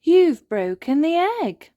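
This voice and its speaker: An excitable little fairy princess as a woman reader puts her on pipes up in a high, swooping voice. She sounds sweet and eager, exclaiming her lines as in a children's storybook. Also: takes it slowly, dry recording, highs cut off, exaggerated voice. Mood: alarmed. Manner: gasping, exclaiming.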